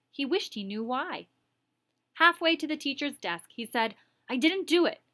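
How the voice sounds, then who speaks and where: A woman teacher reading a story aloud expressively, stopping for chatty asides to explain and comment. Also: medium pitch. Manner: animated, explains words and comments as she reads.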